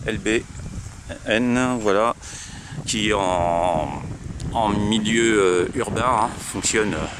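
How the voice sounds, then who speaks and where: A man's voice: on the low side, slow, background noise. A man from France with a French accent, chatting casually and hesitantly as a radio operator presenting his gear, often repeating himself. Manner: hesitant, repetitive. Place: outdoors at a roadside.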